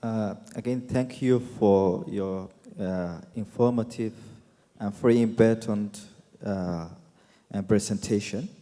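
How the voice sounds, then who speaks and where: A man with a Somali accent speaks in a soft-edged, mellow tone of middling pitch. He sets out a formal question in short, emphatic, deliberate pieces that he often repeats, and the hall echoes around him. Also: fairly even intonation. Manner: emphatic, deliberate, repetitive, with short broken phrases. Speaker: audience member asking a question. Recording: echoey hall with long reverb.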